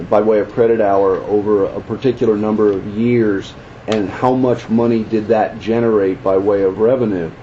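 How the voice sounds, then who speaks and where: A man's voice: lowish voice, mellow. A man speaking in an orderly, articulate way as a senior official in a telephone meeting. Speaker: chancellor. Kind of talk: meeting discussion, summarizing. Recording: muffled phone line, faint noise.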